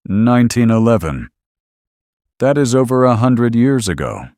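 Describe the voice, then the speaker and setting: A man's voice: mid-range voice, very animated, a little slow, a little mellow, dry recording, studio quality. A man co-hosting a horror podcast, giving scripted, incredulous and nervous reactions to the story. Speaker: podcast co-host.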